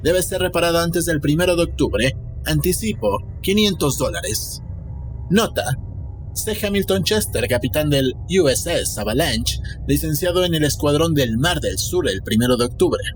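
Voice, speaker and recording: A sinister, boastful eccentric played by a male narrator speaks in a fairly high voice with deliberate, smug menace. It is an audiobook character, with music clearly playing underneath. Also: lively intonation, natural voice. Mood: businesslike. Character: Mr. Wilde, sinister, boastful, scheming eccentric man. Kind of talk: dramatic audiobook character reading. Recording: music behind the voice.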